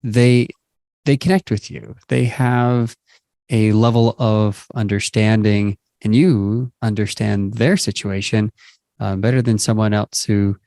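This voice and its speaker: A man's voice: on the low side, fairly even intonation, takes it slowly, dark tone, no echo, studio quality. A man hosting an interview show, introducing and addressing his guest in a conversational presenter's style. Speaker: show host.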